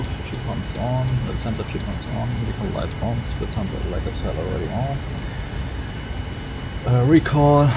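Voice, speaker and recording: A young man with a gravelly, creaky edge to his voice, calmly reading out flight checklists as he streams a flight simulator at home. Steady game noise runs beneath his voice. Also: mid-range voice. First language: German. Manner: reads out checklist items, relaxed. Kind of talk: casual gameplay commentary.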